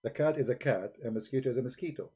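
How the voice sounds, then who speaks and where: Man, live-stream host, mellow voice, blunt challenging talk, broken English, desk microphone. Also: medium pitch, very fast, husky. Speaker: livestream host.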